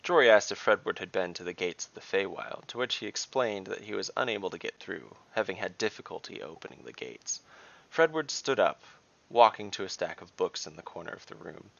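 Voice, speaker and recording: A man's voice: sits low, lively intonation, brisk, gravelly, a bit bright, muffled recording. A man reading scripted narration as the narrator and host of a story podcast.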